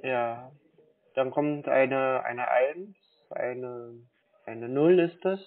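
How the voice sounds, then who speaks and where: A man on a phone call, talking as a confused customer in a halting, dithering way and repeating himself. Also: medium pitch. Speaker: scam baiter playing a gullible customer. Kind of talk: phone call, slow put-on pensioner act. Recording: dull band-limited phone line.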